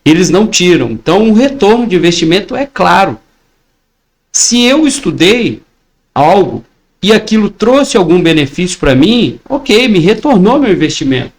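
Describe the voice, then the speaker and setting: A man's voice: high-pitched, very animated, moderate pace. A Brazilian man in his forties with a Brazilian Portuguese accent, teaching an online exam-prep lesson in a persuasive way, asking and answering his own questions.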